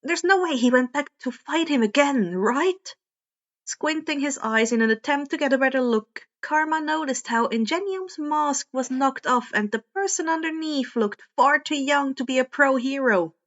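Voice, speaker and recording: A woman reading fan fiction aloud as an expressive audiobook narrator. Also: high voice, muffled recording. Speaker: podfic reader.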